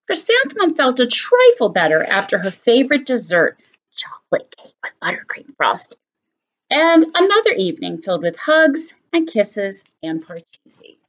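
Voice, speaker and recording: A woman elementary school teacher reading a picture book aloud to her students, expressive and animated. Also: fairly high pitch, normal speed.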